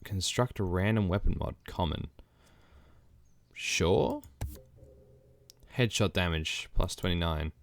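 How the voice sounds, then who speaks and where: A man with a hoarse, croaky voice from a cold, casually thinking out loud as he plays a video game. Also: sits low, slow pace, pauses often, slight background noise. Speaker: gameplay channel host. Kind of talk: casual let's-play commentary.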